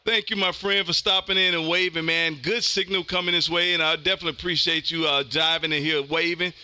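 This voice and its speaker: An American man hosting a ham radio stream, talking casually in a loud, animated, slangy way. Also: fairly high pitch, brisk, clear, resonant tone, no room sound. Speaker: amateur radio operator hosting a live stream. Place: home radio room.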